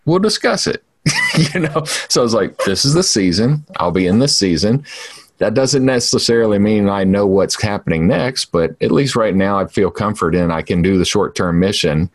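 A man's voice: low voice, dry recording, clean studio sound. A man in his forties co-hosting a podcast, chatting easily and laughing often. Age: 40s. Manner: easygoing, laughs often, talks about faith and family. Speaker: podcast co-host. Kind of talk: casual chat.

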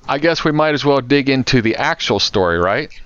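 A man's voice: mid-range voice, expressive, brisk, fairly bright tone, no room sound, muffled recording. A man chatting casually as a podcast co-host, prompting with questions in an incredulous way. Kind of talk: casual question-and-answer chat.

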